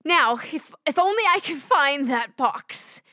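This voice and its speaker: An actress playing an absent-minded, eccentric old woman in a children's audio drama, muttering theatrically and haltingly. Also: high voice, animated, sharp tone, no echo, clean studio sound, exaggerated voice. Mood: fretful, searching.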